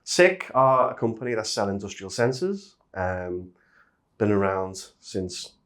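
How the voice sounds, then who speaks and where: A man's voice: fairly low pitch, big pitch swings, a little slow, fairly bright tone. A middle-aged man from Liverpool with a Liverpool accent, chatting as a guest in a casual interview. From Liverpool, England. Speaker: interview guest, industrial safety manager.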